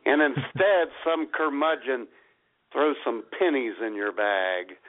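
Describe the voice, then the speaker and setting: A man's voice: medium pitch, lively intonation, takes it slowly. A man co-hosting a comedic radio show, trading playful banter and slipping into mock grumpy voices. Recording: phone-like radio audio.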